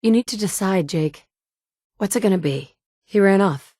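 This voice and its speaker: A woman's voice: medium pitch, animated, normal speed, mellow, no room sound, close to an everyday voice. A woman voicing the player character in a video game, a capable survivor who talks in a direct, firm way. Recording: dry studio recording.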